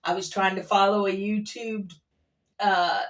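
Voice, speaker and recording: A woman with a mid-range voice chats casually in an unhurried, meandering way, like a vlogger sharing everyday stories. Her delivery is folksy and playful. The voice sits a bit away from the microphone. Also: mid-range voice, fairly even intonation, far from the mic. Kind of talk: casual rambling storytelling to camera. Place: at an airport workplace.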